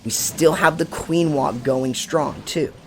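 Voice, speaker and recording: A man with a raspy voice hosting a casual game strategy guide, explaining attacks step by step and correcting himself as he goes. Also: on the high side, steady tone, slow, a little hiss or hum, rough recording. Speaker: gaming channel host.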